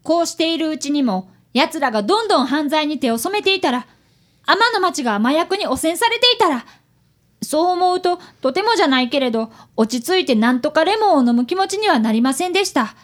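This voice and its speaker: A very high, lively boy's voice acted by a woman, close and dry. He is an earnest young apprentice detective in a radio drama, polite and dramatic in the way he tells things. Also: a little fast, dry recording. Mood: anxious narrating. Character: earnest excitable eleven-year-old boy apprentice detective. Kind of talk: radio drama narration and dialogue.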